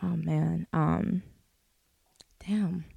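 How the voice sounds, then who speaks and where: A woman's voice: sits low, steady tone, very slow, gravelly, warm tone, slightly rough, no room sound. A young woman, a singer, chatting casually as a guest, laid-back and full of "like" fillers and swearing. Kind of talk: casual interview chat.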